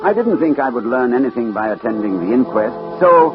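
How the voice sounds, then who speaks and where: A man acting a troubled gentleman detective of old radio drama, with widely moving intonation. He speaks formally and with hesitation, on a dull vintage recording with music under it. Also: medium pitch, expressive, a little fast, lightly acted. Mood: brooding, unsettled. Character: troubled gentleman detective narrating his case. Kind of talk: 1940s-50s radio acting, first-person narration.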